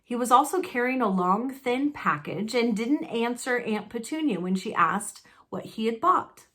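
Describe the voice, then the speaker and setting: Slow-paced woman reading a story aloud. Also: a little high, animated, fairly bright tone. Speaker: storybook narrator. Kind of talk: expressive read-aloud narration of a children's novel.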